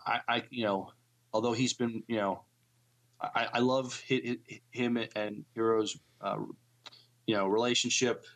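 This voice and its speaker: A man co-hosting a podcast, chatting and reading news casually, with a halting delivery that trips on word starts. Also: medium pitch, fairly even intonation, fairly bright tone. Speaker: podcast co-host.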